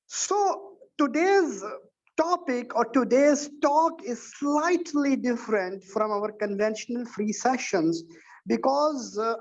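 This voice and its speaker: A man's voice: high voice, a little mellow. A man tutoring exam candidates in an online session, lecturing earnestly with frequent repetitions and restarts. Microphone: video call. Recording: dull lo-fi online call audio.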